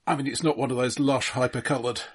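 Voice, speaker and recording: A middle-aged British man co-hosting a casual film discussion in a measured, analytical way with careful qualifications. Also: mid-range voice, steady tone, breathy edge. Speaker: film discussion podcast co-host.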